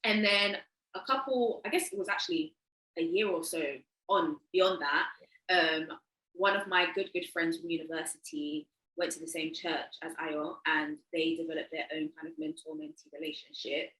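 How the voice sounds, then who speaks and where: Woman telling a story on a podcast, animated, bright voice, echoey room. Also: lowish voice, normal speed, vocal fry. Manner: animated storyteller, laughs often. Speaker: podcast co-host. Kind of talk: casual storytelling.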